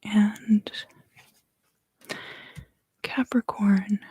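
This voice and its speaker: A woman in her thirties gives an ASMR tarot reading in hushed, unhurried, deliberate phrases, close to the microphone. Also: medium pitch, steady tone, slightly rough, no room sound, rough recording. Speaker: tarot reader and ASMR host. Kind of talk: ASMR tarot reading, whispered and softly spoken.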